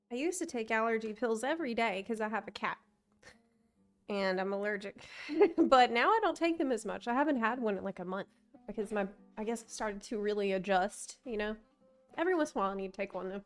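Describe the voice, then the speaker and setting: A woman's voice: mid-range voice, animated, brisk, long pauses, soft background music. A woman game streamer giving casual live reactions in an informal, slangy, exclamatory way.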